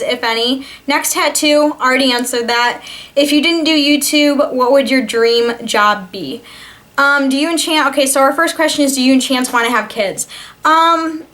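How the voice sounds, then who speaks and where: A young woman of twenty speaks in a fairly high voice. She talks in an informal, chatty vlog style, as someone taking questions from her viewers would. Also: fairly bright tone. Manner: chatty, informal, reads questions aloud then answers. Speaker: vlogger answering viewer questions. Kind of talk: casual Q&A vlog chat.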